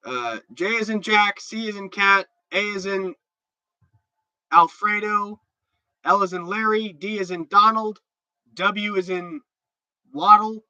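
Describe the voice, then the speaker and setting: Stuffed-up man, sharp bright voice. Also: high voice, slow, long pauses, clean tone, no echo, highs cut off. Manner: rambling and chatty, with repeated restarts. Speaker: livestream host. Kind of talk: casual livestream chat, reading out viewer comments.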